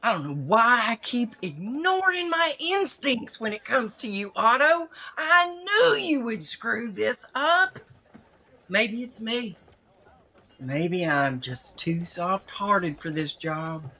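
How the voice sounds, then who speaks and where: A hard, no-nonsense boss in an audio drama. She speaks slowly and bluntly, with a hard bright edge and wide swings in pitch. There is a dry menace to her. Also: big pitch swings, exaggerated voice. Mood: angry, menacing. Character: tough, curt trading-post woman boss, no-nonsense and menacing. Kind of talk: dramatic audio fiction acting.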